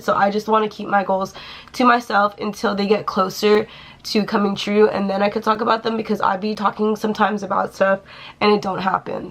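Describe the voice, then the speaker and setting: A woman's voice: medium pitch, a bit bright. A young woman chatting casually and swearing freely while she does her makeup in a small room. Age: young adult. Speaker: beauty vlogger.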